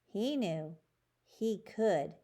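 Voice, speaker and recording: A woman's voice: medium pitch, expressive, drawn-out words, mellow. A woman reading a children's chapter book aloud as a storyteller.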